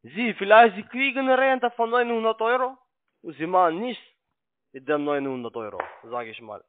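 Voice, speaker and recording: Guy doing pushy phone sales, kinda high voice, broken grammar, thin phone line. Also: animated. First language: not German. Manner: halting, insistent, broken grammar. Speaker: call centre sales agent. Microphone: telephone. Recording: tinny narrowband phone line.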